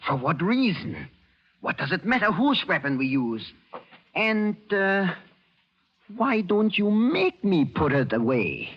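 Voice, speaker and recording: An actor playing a cold, commanding German general with a German accent and formal speech in a 1940s radio thriller. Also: male voice, sits high, sing-song, slow, breathy edge, exaggerated voice. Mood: menacing. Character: menacing Nazi German general, cold and demanding. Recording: muffled old-time radio recording.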